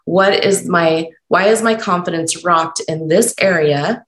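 A woman hosting a solo podcast, talking unscripted and encouraging while stumbling over her words, her voice croaky from being sick. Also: fairly low pitch, unhurried, fairly bright tone, roomy sound. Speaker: podcast host.

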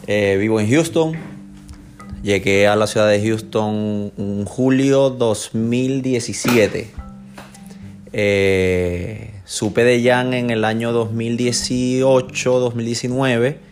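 Male interview guest, Venezuelan accent, average pitch, casual conversational recounting, light music underneath. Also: steady tone, takes it slowly. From Maracaibo, Venezuela. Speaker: tattoo client being interviewed.

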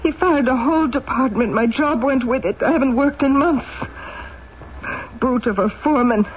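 A woman's voice: high-pitched, moderate pace, natural voice. An actress playing a poor young widowed mother, defiant and pleading, in an old-time radio drama. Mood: pleading, desperate. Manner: plaintive, indignant. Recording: dull, noisy old radio recording.

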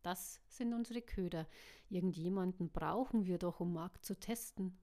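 A woman's voice: on the low side, moderate pace, mellow, natural voice. A woman voicing a polished, cynical male ringleader who talks in an ingratiating way. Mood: smooth.

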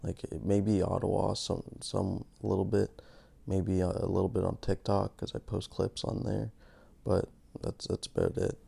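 A man hosting his podcast in a casual, rambling monologue, gravelly from just waking up, joking at his own expense. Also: low-pitched, flat delivery, measured pace, warm tone. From Canada. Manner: rambling, self-mocking, many restarts. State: just woke up. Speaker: podcast host.

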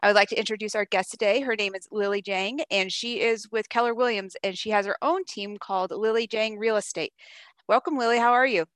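A woman's voice: mid-range voice, fairly quick, a bit bright, no room sound. A woman hosting an interview podcast, talking in a chatty, informal way. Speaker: podcast host.

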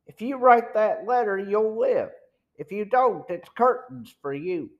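A man's voice: high-pitched, expressive, measured pace, lo-fi sound, voice pushed up. A man voicing a sour, threatening smuggler chief in an audiobook, pressing and sneering. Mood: threatening, uneasy. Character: sour, menacing male smuggler gang chief. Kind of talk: audiobook villain dialogue.

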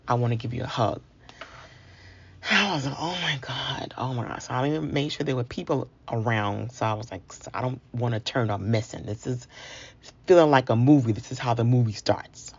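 An American woman from New York City telling a personal story in a conversational, comic way, acting out dialogue, in a husky, gravelly voice. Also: rumbling low. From New York City, United States. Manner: comic, acts out dialogue with exclamations. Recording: dull lo-fi sound.

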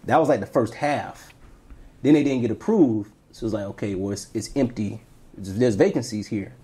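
A man from Tampa, Florida, co-hosting a podcast, telling his story in a reflective way that trails off quietly. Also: on the low side, moderate pace, breathy edge. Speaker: podcast co-host. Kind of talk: casual interview storytelling.

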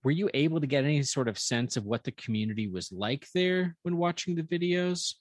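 A man's voice: a little high, expressive, fairly quick, no room sound, studio quality. A man hosting a podcast, asking questions conversationally with many fillers and restarts. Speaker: podcast host. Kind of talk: conversational interviewing.

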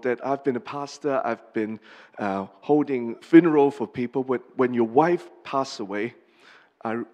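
Man, middle-aged, pastor, from Hong Kong, earnest, halting, frequent pauses, mid-pitched, long hall reverb. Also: darkish tone. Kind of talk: personal testimony sermon.

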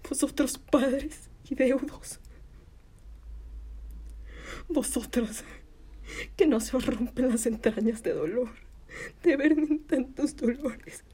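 A woman performing a classical Spanish verse monologue as a wronged, defiant young village woman, declaiming slowly and with anguish in a breathy, husky voice. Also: high voice, mellow, exaggerated voice. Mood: pained, pleading. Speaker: drama student actor.

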